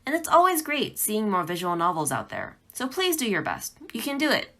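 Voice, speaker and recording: A woman's voice: medium pitch, wildly swooping pitch, brisk, bright, forceful tone. A woman presenting a pre-recorded instructional talk as a game developer, speaking in an emphatic, encouraging way.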